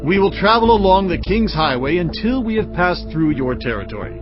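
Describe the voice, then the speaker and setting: Lively, earnest royal messenger. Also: male voice, sits high, brisk, highs cut off, music in the background. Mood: earnest request. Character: courteous, earnest male messenger to a king. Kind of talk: dramatized audio Bible acting.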